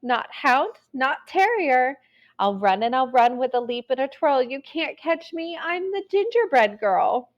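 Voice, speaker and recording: A woman's voice: sits high, sing-song, a little slow, muffled recording, voice pushed up. A woman voicing a plucky gingerbread girl cookie in a storybook, with teasing, sing-song rhymes. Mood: teasing, gleeful. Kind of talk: storybook read-aloud.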